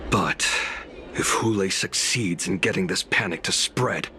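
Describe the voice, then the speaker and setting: Low-voiced, earnest warrior. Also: male voice, measured pace, background noise. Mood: grave warning. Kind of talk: video game voice acting. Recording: music under the voice.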